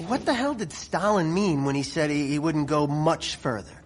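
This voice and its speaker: A man's voice: on the high side, expressive, normal speed. An actor playing a blunt, blustering middle-aged American general in a radio drama, folksy and profane, tripping over his words. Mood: brusque. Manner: stammering, exasperated, profane, folksy.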